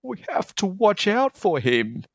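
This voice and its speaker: A man's voice: sits high, sing-song, measured pace, no echo, highs cut off, voice pushed up, full character voice. A man voicing an irritable, suspicious male fish who grumbles and raises alarms in a children's story. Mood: wary, accusing.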